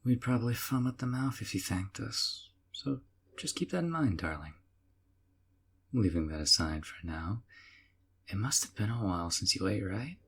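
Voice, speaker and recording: An actor playing a sweetly possessive young yandere man in an audio roleplay, doting and softly teasing. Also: on the low side, animated, normal speed, slightly rough, heavily acted. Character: doting obsessive young male yandere captor.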